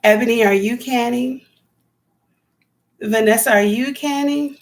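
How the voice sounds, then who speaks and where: A woman with a raspy voice chatting casually on a live stream as a homesteading vlogger, rambling and talking to viewers by name. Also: fairly high pitch, measured pace. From California.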